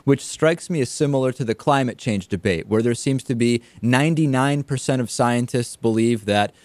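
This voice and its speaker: Male American talk host, mid-range voice, measured and articulate commentary, close dry recording. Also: mid-range voice, darkish tone, no echo. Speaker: talk show host and interviewer. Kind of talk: conversational political commentary.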